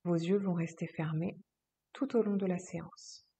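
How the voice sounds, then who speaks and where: A woman yoga teacher leading a guided relaxation meditation, speaking slowly and calmly in a soft, husky voice with long pauses. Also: on the low side. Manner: slow, calm, soothing instruction with long pauses.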